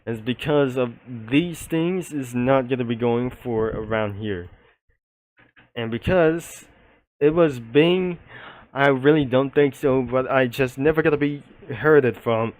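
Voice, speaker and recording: Slow-talking man rambling through commentary. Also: medium pitch, darkish tone, low-quality recording. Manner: rambling, roundabout phrasing. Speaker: video creator presenting a comic studio. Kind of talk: unscripted screen commentary.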